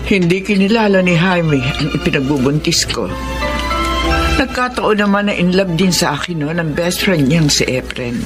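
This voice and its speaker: A woman's voice: lowish voice, lively intonation, moderate pace, natural voice. A woman with a Filipino accent playing a grave older grandmother who reveals painful family secrets in a radio drama. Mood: grave, confessing. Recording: dramatic music under the voice.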